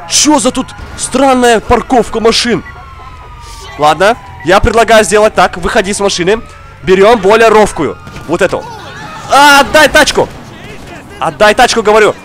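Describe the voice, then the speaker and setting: A young man, slightly under the weather, giving excitable casual gameplay commentary, exclaiming, repeating words and talking straight to the viewer. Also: sits high, moderate pace, a faint crowd. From outside Russia. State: slightly ill, coughing now and then. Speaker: gaming streamer. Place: indoor room, seated at racing wheel.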